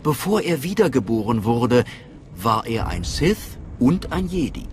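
An actor voicing a calm, sceptical scholarly steward of a cult in a video game, earnest and persuasive. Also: male voice, mid-range voice, unhurried, a little hiss or hum. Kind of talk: dramatic video game dialogue. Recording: faint music behind voice.

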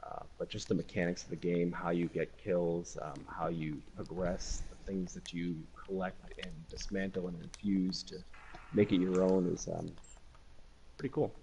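A young man chats in an easygoing way on a podcast, pausing often for fillers. His voice is very low and gravelly, with a faint clicking noise in the background. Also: flat delivery, dark tone, rough recording. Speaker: podcast co-host. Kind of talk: casual chat.

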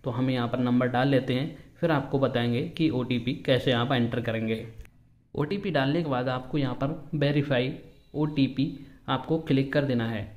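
A man's voice: mid-range voice, moderate pace, a little mellow. A man hosting an instructional app walkthrough, explaining each step repetitively. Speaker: tutorial host.

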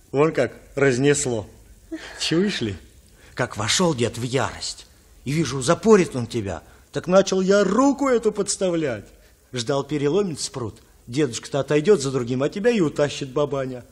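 An actor playing a good-hearted, sly young peasant lad in a radio drama, folksy and confiding. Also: male voice, medium pitch, sing-song, moderate pace, slightly rough. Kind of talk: Russian radio drama acting.

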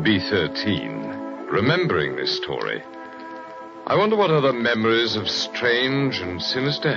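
A man narrating a 1940s radio mystery as its storyteller, smooth and theatrical. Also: lowish voice. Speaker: storyteller and announcer. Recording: dull 1940s radio broadcast with music.

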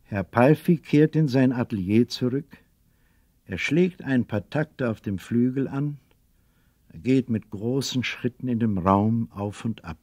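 A man's voice: medium pitch, measured pace, darkish tone, dry recording, natural voice. A man acting as the narrator of a children's radio play, telling the story in scripted narration. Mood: measured narration. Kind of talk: scripted audio-drama narration.

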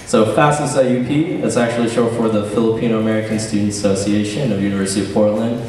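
A young man emceeing a student cultural show on stage, chatty and relaxed with lots of fillers. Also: fairly low pitch, quick pace, a little hiss or hum. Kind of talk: casual stage hosting. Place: large event hall on a stage. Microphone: handheld mic heard through hall speakers. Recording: echoey hall from far off.

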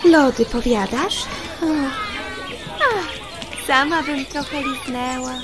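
A woman voicing a chatty, sensible little female fly in a children's audio play, expressive and given to buzzing. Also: high-pitched, slow pace, heavy background noise. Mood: lively.

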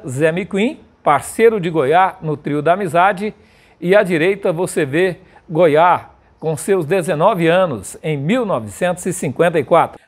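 A man in his fifties with a Brazilian accent, presenting a documentary in scripted narration. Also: high voice. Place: indoors in front of a printed backdrop.

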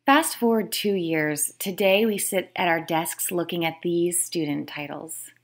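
Low-pitched woman reading aloud. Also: a little slow. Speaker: author reading own book aloud. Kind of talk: scripted read-aloud narration.

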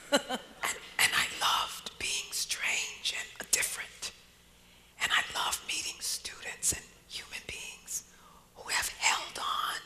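A woman poet telling personal stories as a guest speaker, in a hushed, whispering delivery. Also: high-pitched. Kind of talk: personal storytelling at a live talk.

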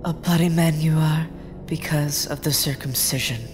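A bitter, accusing wife of ancient times played by a woman speaks in a low voice. The scripture drama has music clearly behind her. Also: fairly even intonation, normal speed, natural voice.

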